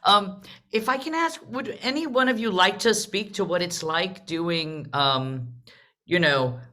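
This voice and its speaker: A woman's voice: lowish voice, a little slow, pushed and bright. A woman hosting a live panel discussion, speaking in a casual, conversational style. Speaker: panel host of a theater livestream.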